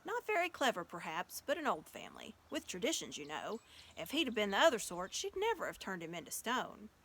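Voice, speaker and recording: Woman as a genteel, bright-voiced faun. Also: mid-range voice, huge pitch swings, fairly quick, breathy edge, exaggerated voice. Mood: genteel, chatty. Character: genteel male faun, mild and chatty.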